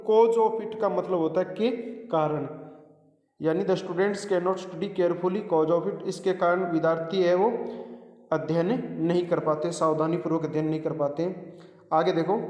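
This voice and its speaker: A man with an Indian accent, a native Hindi speaker, teaching a bilingual English lesson, deliberately repeating phrases and explaining them piece by piece. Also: high-pitched, a little mellow. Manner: didactic, repeats phrases slowly and deliberately word by word. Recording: echoey, reverberant room.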